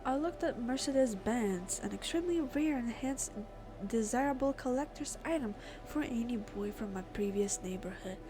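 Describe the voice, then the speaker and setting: A woman doing a let's play, reading game text aloud and adding expressive, informal reactions. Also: on the high side, moderate pace, poor audio. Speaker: gamer, let's player. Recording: clear game music behind the voice. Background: game music.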